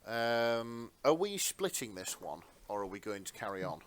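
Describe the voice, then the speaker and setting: A man's voice: fairly low pitch, big pitch swings, moderate pace, clear, resonant tone. A man co-hosting a podcast in casual banter, with a blunt, sweary manner. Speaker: podcast co-host.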